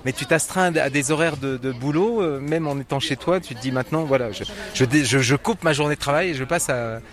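A quick-talking man works as an interviewer. He keeps things conversational, prompting with questions and informal remarks in a mid-pitched voice.

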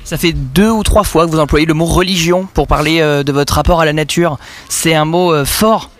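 A man's voice: fairly high pitch, moderate pace, a bit bright. A man interviewing a guest on local radio, asking questions politely and a bit hesitantly.

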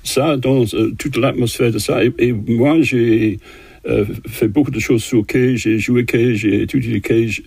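Older guy, husky voice, dry close sound, lots of euhs and restarts. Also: medium pitch, fairly even intonation, darkish tone, no room sound. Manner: halting, many fillers and restarts. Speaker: composer guest. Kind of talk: interview answers in a second language.

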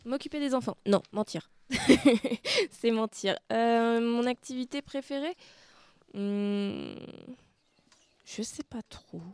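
A young woman in her late twenties answers questions on a children's radio show, playful and hesitant, often pausing to think. Her voice is smooth and clear, a little higher than most. It is recorded dry and close, with no room sound. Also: very animated, darkish tone, no echo. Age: 20s. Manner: hesitant, playful, lots of hmms. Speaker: interviewee, youth center activity leader. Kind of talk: casual radio interview. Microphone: close studio mic.

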